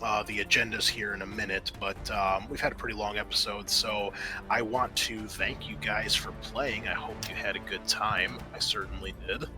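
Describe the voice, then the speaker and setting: A man's voice: fairly low pitch, fairly even intonation, normal speed. A man speaking casually as game master and host, narrating a tabletop game with informal, hesitant phrasing. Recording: faint music under the voice.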